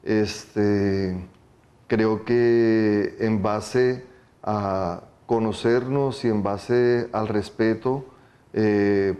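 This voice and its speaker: Low mellow guy, really slow, thoughtful interview answer with pauses.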